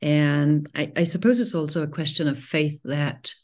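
A woman's voice: low-pitched, steady tone, moderate pace. A woman with a Danish accent, speaking as a podcast guest in a reflective, hesitant way. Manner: reflective, hesitant, repeats words. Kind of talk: conversational panel discussion.

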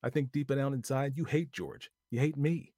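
A man's voice: medium pitch, fairly even intonation, moderate pace, mellow, no echo, studio quality, voice pushed up, natural voice. A man reading aloud voices a bossy, scornful young woman who snaps and talks vulgar when angry.

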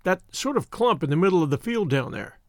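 A man's voice: high-pitched, big pitch swings, fairly quick, dry recording, studio quality. A man voicing a rude, scornful English schoolboy scout in a storybook reading, brash and dismissive. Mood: curious, impatient.

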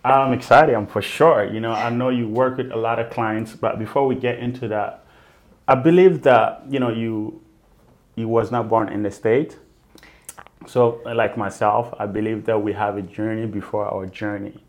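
A man's voice: on the low side, steady tone, normal speed. A man hosting a podcast in a casual interview, enthusiastic and chatty, laughing often. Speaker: podcast host.

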